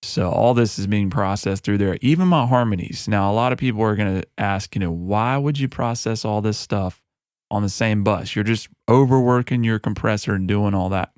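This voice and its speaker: A man who is a music producer giving a relaxed, chatty walkthrough of a mixing session into a broadcast mic in his home studio. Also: fairly low pitch, clean tone, dry recording. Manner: relaxed and conversational, with frequent fillers. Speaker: music producer and recording artist. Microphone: broadcast studio microphone.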